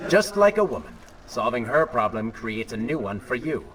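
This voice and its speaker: An actor playing a prince's scornful, mocking dark inner voice in a dramatic video game. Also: male voice, mid-range voice, big pitch swings, moderate pace, slight background noise, rough recording. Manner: mocking, needling.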